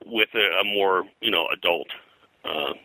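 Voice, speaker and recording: An older man reminiscing as an interview guest in a halting, digressive way, often clearing his throat. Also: low-pitched, pushed and bright, no room sound, rough recording. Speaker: interview guest, comics historian.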